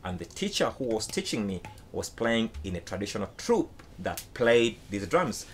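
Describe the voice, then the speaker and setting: A man's voice: on the low side, moderate pace, a little hiss or hum. A young adult man from Uganda, a native Luganda speaker, chatting casually in a home basement studio and animatedly retelling a story. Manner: animated, acts out his own quoted reactions. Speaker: guest musician.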